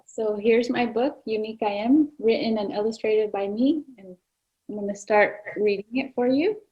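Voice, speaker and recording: A woman's voice: on the high side, normal speed, muffled recording. A woman author reading her own picture book aloud to children. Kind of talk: children's read-aloud narration.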